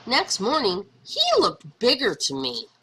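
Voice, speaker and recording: A woman reading a children's story aloud in an expressive, dramatic manner, stretching words for emphasis. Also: medium pitch, measured pace, a little hiss or hum. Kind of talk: expressive read-aloud narration for young children.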